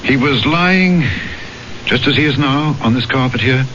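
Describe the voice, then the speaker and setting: A man's voice: mid-range voice, measured pace, background noise, natural voice. A man playing a curt, probing magistrate who narrates his eerie investigation in a radio mystery drama. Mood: measured, investigative. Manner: clipped questioning, brooding suspenseful narration. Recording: dull lo-fi radio broadcast, loud music.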